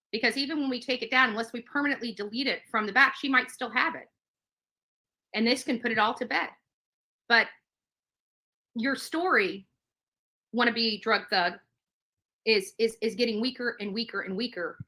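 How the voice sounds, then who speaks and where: A woman with a fairly high, very bright and forceful voice hosts a livestream rant. She speaks combatively and emphatically, hammering points home by repeating phrases. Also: moderate pace, pauses often. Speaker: livestream host. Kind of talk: livestream commentary rant to viewers.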